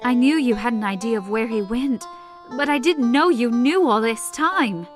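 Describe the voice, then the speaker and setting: A woman's voice: on the high side, moderate pace, close to an everyday voice. Woman acting a caring, firm mother over guitar. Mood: mock stern. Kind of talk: audio drama acting.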